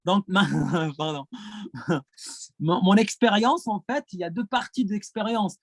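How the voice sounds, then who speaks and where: A man's voice: high voice, measured pace, creaky, no room sound. A man from Gaza whose first language is Arabic speaks French with an Arabic accent, answering questions at a conference as a French teacher, hesitant and full of repeated words. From Palestine, Gaza.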